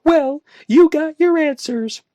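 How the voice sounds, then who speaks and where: A woman reading a story aloud and voicing a distraught, volatile young woman who pleads and lashes out in shrill outbursts. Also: a little slow, warm tone, no room sound. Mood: angry.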